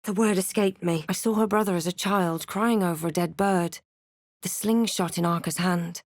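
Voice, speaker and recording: A woman playing a wry, guarded adventurer heroine in a fantasy game, with a measured, dramatic delivery. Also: lowish voice, fairly even intonation, darkish tone, no room sound, clean studio sound, lightly acted. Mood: grave narration. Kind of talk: scripted fantasy game voice lines and narration.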